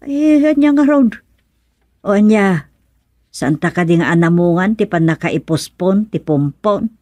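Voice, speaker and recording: A woman's voice: on the low side, lively intonation, a little slow, darkish tone, no echo. A woman acting in a radio drama comedy as an inquisitive, nagging village woman with an Ilocano accent. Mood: insistent.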